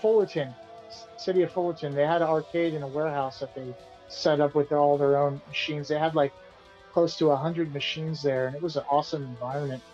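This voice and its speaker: A man, the band's singer and guitarist, answering interview questions in a relaxed, rambling way and often repeating himself. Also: on the high side, brisk, highs cut off, soft background music. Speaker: band vocalist and guitarist being interviewed.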